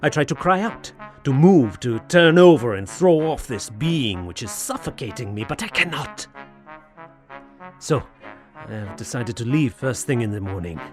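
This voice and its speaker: An actor playing a tormented, anxious French gentleman in an audio horror drama, speaking formally with hesitant, brooding, theatrical delivery. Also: mid-range voice, close to an everyday voice. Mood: dread. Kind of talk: audio horror drama acting, period literary diction.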